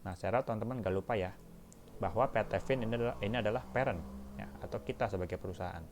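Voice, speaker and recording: Low-voiced Indonesian man teaching, noisy recording. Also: racing. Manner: addresses listeners as friends, frequent checking tags. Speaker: accounting lab instructor. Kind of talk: tutorial walkthrough, informal teaching.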